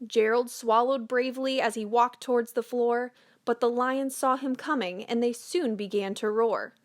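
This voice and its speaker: A woman storyteller narrating a children's picture book in a measured, expressive read-aloud manner. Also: fairly high pitch.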